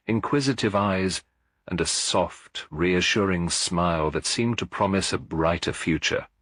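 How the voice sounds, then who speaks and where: A man narrating a detective story as a scripted audiobook, in a measured, formal storytelling manner with a husky voice. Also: deep voice, a bit bright, dry recording, clean studio sound.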